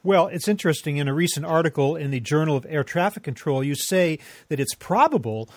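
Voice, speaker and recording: Higher-voiced male interviewer, dry sound. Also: lively intonation, a little fast, no room sound. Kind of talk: measured interview questioning.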